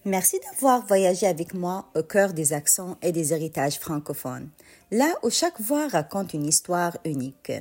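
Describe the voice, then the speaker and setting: A woman narrating the close of the series in a gentle, inviting way. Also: on the low side, big pitch swings, moderate pace. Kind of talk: closing narration.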